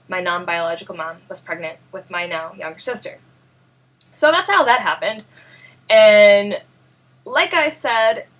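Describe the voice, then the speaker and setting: A young woman with a New York accent, born in Russia, talking earnestly and haltingly to camera in a personal vlog, often searching for words. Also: mid-range voice, fairly bright tone, rough recording. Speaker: vlogger.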